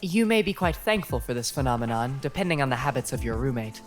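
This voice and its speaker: A woman playing a composed college professor who lectures in a measured, didactic way for a comic dub. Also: extremely low pitch, very animated. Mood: measured, instructive. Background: music.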